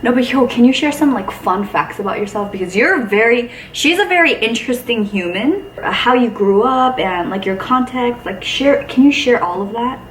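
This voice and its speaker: Upbeat woman vlog host with a high voice, casual and giggly; roomy, noisy, distant recording. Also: animated, moderate pace, few pauses. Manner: laughs often, says "like" and "yo". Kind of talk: casual chat.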